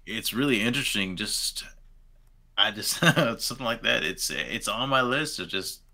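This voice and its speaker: Bright, sharp-voiced man chatting casually. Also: lowish voice, animated, normal speed, gravelly. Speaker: podcast guest. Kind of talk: casual chat. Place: home room with bookshelves.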